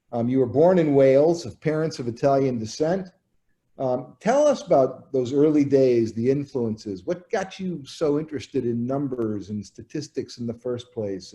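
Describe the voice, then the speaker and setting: Mellow-voiced male interview host. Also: medium pitch. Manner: upbeat, lightly joking introductions and long questions. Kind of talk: hosted interview.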